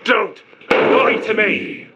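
An actor playing a hot-tempered, loyal stowaway prisoner, defiant and emotional, in an audio drama. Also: male voice, medium pitch, a little fast, dull audio, heavy background noise, lightly acted. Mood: angry, defiant. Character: hot-tempered lower-class stowaway prisoner, fiercely loyal to his friend.

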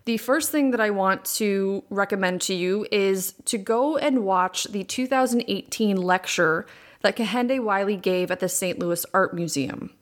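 Raspy woman with heavy vocal fry. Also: medium pitch, a little slow. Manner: candid, emphatic, repeats for stress. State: voice worn from repeated recording. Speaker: podcast host. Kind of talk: casual solo podcast talk. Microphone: USB condenser microphone.

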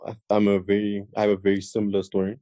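A man's voice: fairly low pitch, completely flat delivery, quick pace, dark tone, dry recording, muffled recording. A young South African man co-hosting a podcast, chatting casually and joking with his co-host.

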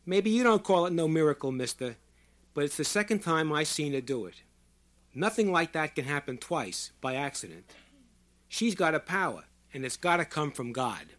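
A squat, working-class storybook man, as a male narrator plays him, loud and high-pitched. He is angry and insistent, hammering out folksy, ungrammatical claims with heavy emphasis. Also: big pitch swings. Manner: shouting, slow emphatic declarations in folksy ungrammatical speech. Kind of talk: audiobook character voice.

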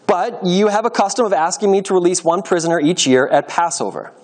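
A man's voice: sits high, a little fast, pitched above the performer's own voice, natural voice. A man voicing a wary, frustrated Roman governor in a dramatized scripture reading, questioning and declaiming insistently.

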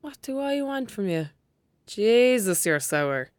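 A woman's voice: mid-range voice, very animated, slow pace, no room sound, clean studio sound. A cheeky young Irish country girl. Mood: teasing. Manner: teasing, wry, colloquial. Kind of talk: audio drama acting.

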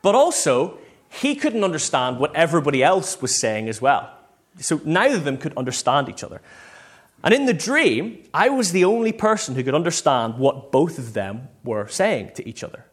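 Northern irish guy preaching, kinda high voice, really lively pitch, chatty storytelling style. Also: normal speed, husky. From Northern Ireland. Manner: conversational, self-quoting, acts out short bits of dialogue. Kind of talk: informal sermon with personal stories.

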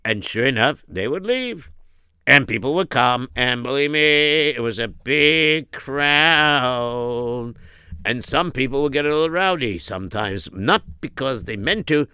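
Male storyteller, folksy, exclamatory, animated pitch, bright clear voice. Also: unhurried, dry recording.

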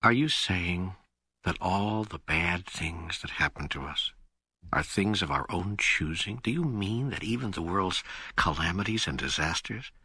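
Man, low forceful voice, husky edge, earnest questioning delivery, scripted audiobook dialogue, dry recording. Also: no room sound. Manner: earnest, searching, emphatic. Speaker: author and audiobook co-narrator.

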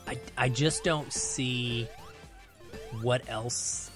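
A man's voice: medium pitch, fairly even intonation, very slow, over music. A man speaking as a podcast guest in casual film discussion, thinking aloud as he goes.

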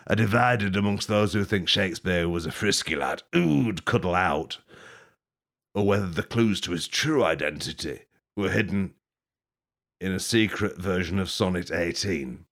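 A man rambling through an improvised mock tour-guide bit, deadpan and absurd, swearing and laughing at his own jokes. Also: low-pitched. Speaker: podcast host.

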